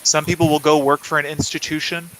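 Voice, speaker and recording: A man's voice: fairly high pitch, little pitch movement, fast talker, fairly bright tone, rough recording. A man from South Carolina speaking conversationally as an interview guest, explaining things reflectively. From South Carolina, United States. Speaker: guest author. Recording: clear background noise on livestream.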